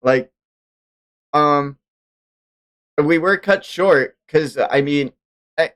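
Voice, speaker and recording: A man's voice: on the high side, drawn-out words, fairly bright tone. A man hosting a casual livestream chat about manga, talking in hyperbolic fan slang and swearing often. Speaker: stream host.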